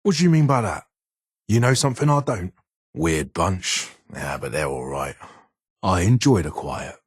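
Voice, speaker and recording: Older ghoul, British accent, low pitch, extremely husky, irritable, curt, video game dialogue. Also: male voice, sing-song, moderate pace, no echo, studio quality, exaggerated voice. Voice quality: raspy, gravelly. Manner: curt, guarded, grumbling. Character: gruff, irritable older male ghoul weapons engineer.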